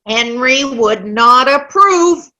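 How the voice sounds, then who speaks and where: A cranky elderly widow in a stage comedy reading, acted by a woman. Her voice is high, sharp and very animated. She complains bluntly, stubborn and dismissive, at an unhurried pace. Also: heavily acted. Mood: disapproving. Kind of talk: amateur play reading. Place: online video-call play reading.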